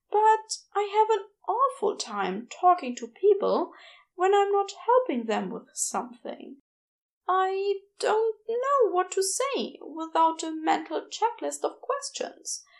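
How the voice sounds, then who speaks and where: A woman voicing a gentle, awkward, apologetic male doctor in an audiobook, breathy, prim and self-deprecating. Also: very high-pitched, pitched above the performer's own voice, exaggerated voice. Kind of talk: audiobook character dialogue.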